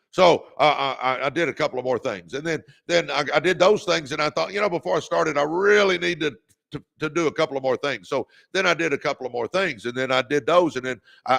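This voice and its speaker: A man in his fifties hosting a live-stream podcast, delivering an energetic, repetitive pep talk in a booming voice. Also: gravelly. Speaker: podcast host.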